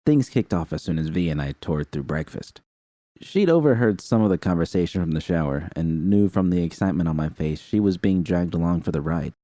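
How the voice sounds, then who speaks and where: A man narrating a first-person fan story in a steady, unhurried reading. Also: sits low, no room sound. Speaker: fan fiction reader.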